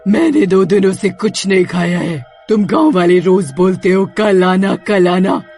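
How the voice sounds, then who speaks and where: Vengeful hungry witch, horror story, creaky voice, slow menacing drawl, faint music behind. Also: mid-range voice, darkish tone. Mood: menacing hunger. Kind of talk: Hindi horror story character acting.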